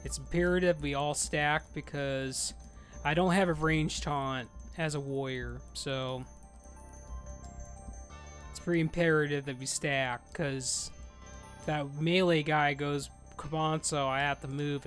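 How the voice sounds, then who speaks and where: A man in his thirties chatting casually while streaming a game with friends, loud and excitable and swearing freely. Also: a little high, a little slow, soft background music. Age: 30s. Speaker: streamer leading a game group. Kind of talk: casual live gameplay chat.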